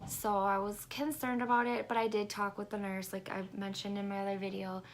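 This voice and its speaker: Congested woman with a gravelly voice. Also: medium pitch, level tone, a little hiss or hum. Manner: rambling, halting, lots of ums. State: congested, stuffy nose. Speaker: vlogger. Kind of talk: casual pregnancy update vlog.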